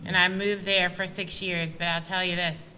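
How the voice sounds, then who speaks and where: A woman in her thirties speaks on a panel in an earnest, direct way. Her voice is bright and forward, picked up by a table microphone over faint room noise. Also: fairly low pitch, level tone, normal speed, low-quality recording. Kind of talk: casual panel talk. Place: university meeting room.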